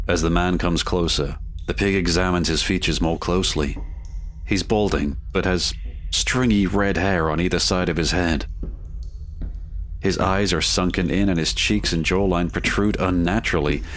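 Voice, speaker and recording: A man's voice: deep voice, fairly bright tone, slight background noise. A man narrating a gothic horror audio drama in scripted present tense, setting each scene.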